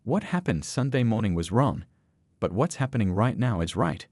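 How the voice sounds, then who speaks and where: A man voicing a calm, dignified old grandfather, low-pitched. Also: moderate pace, no echo. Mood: earnest. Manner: calm, plain-spoken.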